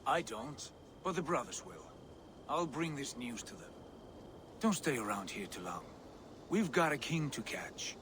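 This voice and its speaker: A man playing a serious, decisive Viking leader in a video game, with a measured, commanding manner. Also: medium pitch, sing-song, noisy background. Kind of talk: dramatic video game acting.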